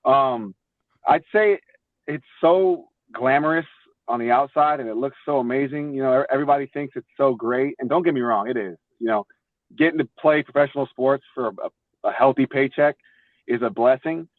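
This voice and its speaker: Tinny phone-sounding audio, young guy from florida, mid pitch, casual podcast guest telling stories. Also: normal speed, no room sound. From Florida, United States. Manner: informal, repeats words, bro and dude. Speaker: podcast guest, former baseball player. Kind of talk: casual chat, storytelling.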